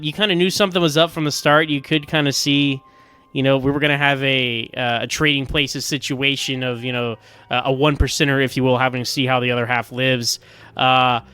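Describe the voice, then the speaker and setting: A man's voice: mid-range voice, bright, forceful tone, smooth. A man giving casual, opinionated fan commentary as a podcast listener, chatty and circling back over his phrases.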